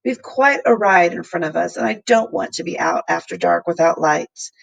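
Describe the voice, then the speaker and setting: A woman's voice: on the low side, moderate pace, vocal fry, slightly rough, full character voice, low-quality recording. A woman voicing an enthusiastic, kindly older male professor who explains and exclaims in old-fashioned phrases. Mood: encouraging.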